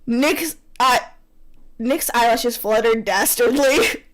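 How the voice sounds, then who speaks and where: A woman reading fan fiction aloud in a casual, teasing, animated way on a rough microphone, bantering as she goes. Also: takes it slowly. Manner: animated, teasing, breaks into laughter. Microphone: poor-quality microphone. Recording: rough low-quality microphone.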